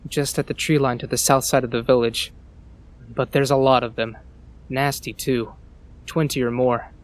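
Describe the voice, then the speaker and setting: A man voices a nervous, humble villager in a fantasy audio drama. His mid-range voice is plain-spoken and halting, as if unsure how much to say. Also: medium pitch, fairly even intonation, lightly acted. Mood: wary, grateful. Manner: hesitant, halting. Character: uneasy, plain-spoken male villager scavenging in ruins.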